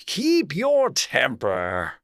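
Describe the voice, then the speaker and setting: A man voicing a sleepy, stern and contemptuous caterpillar in a dramatized storybook, with terse, drawn-out speech. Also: fairly high pitch, huge pitch swings, gravelly, a bit bright, no echo, clean studio sound, voice pushed up, exaggerated voice. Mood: languid, curt. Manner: slow, drawn-out, terse, haughty.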